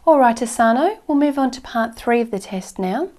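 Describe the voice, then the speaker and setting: A woman's voice: on the high side, animated, normal speed, darkish tone. A woman playing an exam interviewer, asking questions in a polite, formal way. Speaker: examiner.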